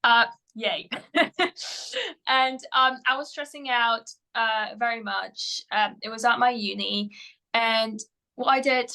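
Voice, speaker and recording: A woman whose first language is Polish, a mental performance coach answering interview questions in English, often pausing with fillers and restarting her sentences. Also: on the high side, steady tone, pushed and bright, low-quality recording. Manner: hesitant, many fillers and restarts.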